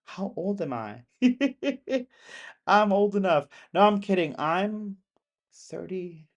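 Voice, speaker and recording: Rambly streamer in their 30s, laughs a lot, mid pitch, chatting from home out in the country. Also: lively intonation, slow, muffled recording. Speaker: live streamer. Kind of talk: casual live-stream chat with viewers.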